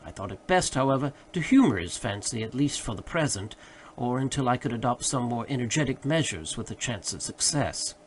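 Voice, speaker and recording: A man narrating a literary audiobook in a formal, expressive manner. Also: mid-range voice, brisk, a little hiss or hum.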